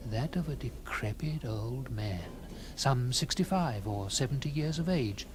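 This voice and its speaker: Guy narrating an old gothic story, medium pitch, really dramatic w/ pauses, noisy ambience behind him. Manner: theatrical, deliberate phrasing with dramatic pauses.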